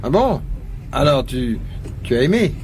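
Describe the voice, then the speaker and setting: A man's voice: medium pitch, sing-song, measured pace. An actor playing a puzzled, concerned man in a radio drama, asking questions in a coaxing way. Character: puzzled, concerned man talking with upset companion. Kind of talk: French radio drama dialogue. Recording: clear car and street noise behind.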